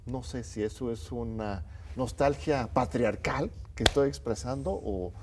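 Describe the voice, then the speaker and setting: A man's voice: mid-range voice, a little slow, background noise. A middle-aged man, a native English speaker from the United States, hosting a televised interview in a studio and talking in a reflective, hesitant way. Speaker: host. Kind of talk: conversational interview.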